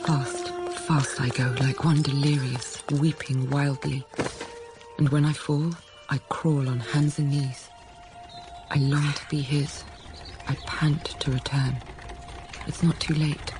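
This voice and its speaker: A young governess narrates slowly and intimately over clear music. She is resolute but grieving, telling her story in the first person as radio drama. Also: deep voice, little pitch movement. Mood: anguished longing.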